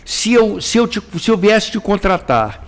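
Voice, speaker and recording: A man hosting a relaxed interview, his fairly high voice moving lively up and down. He stops and restarts as he works his questions out. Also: measured pace. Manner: probing questions with hesitant restarts. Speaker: host, interviewer. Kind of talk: casual interview.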